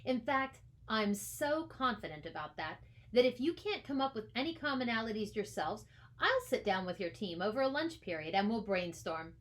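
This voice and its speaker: A woman voicing a brisk, encouraging schoolteacher in a storybook, addressing a class firmly and deliberately. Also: on the high side, big pitch swings. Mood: firm, confident.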